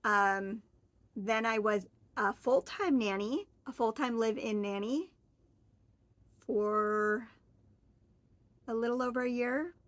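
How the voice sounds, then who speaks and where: A woman in her forties talks casually to the camera, rambling in a friendly way and correcting herself as she goes. Her voice sits a little high, and the recording is dry and close with almost no room sound. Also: slow, long pauses, dry recording. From Oregon, US. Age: 40s. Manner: chatty, rambling, friendly, with fillers and self-corrections. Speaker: vlogger. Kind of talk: casual solo chat to camera.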